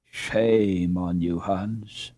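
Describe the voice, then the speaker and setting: A male reader voicing a warm-hearted, weary peasant mother with drawn-out, sighing, old-fashioned speech. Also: sits low, steady tone, no room sound, exaggerated voice. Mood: admiring.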